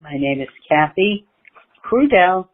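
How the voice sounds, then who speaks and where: A woman with a Rhode Island accent speaks slowly in a recorded listener message. The sound is thin and phone-like. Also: fairly low pitch, very animated. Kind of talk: prepared personal message. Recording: dull lo-fi home recording.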